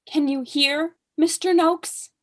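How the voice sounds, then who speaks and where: A woman's voice: sits high, fairly even intonation, slow. A young woman acting a haughty, witty aristocratic mother in a staged reading, pompous, arch and declamatory. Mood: exasperated. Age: young adult. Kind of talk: staged reading of a period comedy.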